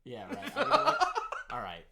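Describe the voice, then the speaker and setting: A man's voice: mid-range voice, wildly swooping pitch, a little fast, creaky, noisy background. A young American man co-hosting a podcast, chatting casually, rambling, swearing a lot and laughing often. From United States. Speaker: podcast co-host. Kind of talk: casual chat.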